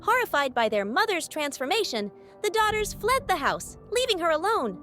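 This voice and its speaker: A woman's voice: extremely high pitch, big pitch swings, normal speed, a bit bright, faint music behind. A woman narrating a scripted fairy tale as a storyteller.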